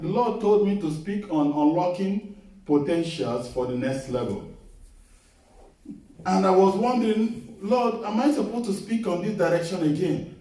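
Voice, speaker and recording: A middle-aged man preaching a sermon in a small church, earnest and measured, repeating his key phrases as he tells a personal testimony. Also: sits high, mellow. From Nigeria. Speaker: guest preacher. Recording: echoey hall, voice picked up from a distance.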